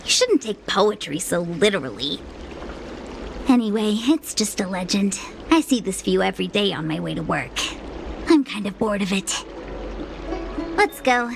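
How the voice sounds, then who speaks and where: A woman playing a playful, easily flustered young diviner guide in a video game, chatty and expressive. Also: medium pitch, normal speed, vocal fry, long pauses, slight background noise. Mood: casual, knowing. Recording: background music under the voice.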